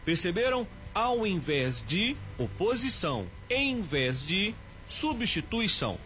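A male Portuguese teacher with a Minas Gerais accent gives a scripted language tip on the radio, slowly repeating his examples. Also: high voice, sing-song, bright, forceful tone, faint music behind. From Minas Gerais, Brazil. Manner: didactic, slow emphatic repetition of examples.

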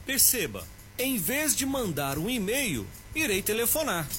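A man with a Minas Gerais accent giving a Portuguese tip on the radio, slowing down to stress each example. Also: high voice, very animated, a bit bright, faint music behind. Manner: didactic, slows down to stress examples. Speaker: Portuguese teacher.

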